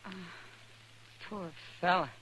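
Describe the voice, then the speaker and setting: A woman's voice: takes it slowly, fairly bright tone, rough recording. An actress playing a friendly, worldly, sympathetic American frontier saloon woman in a radio western. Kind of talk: 1950s radio western acting. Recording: vintage radio recording, faint noise.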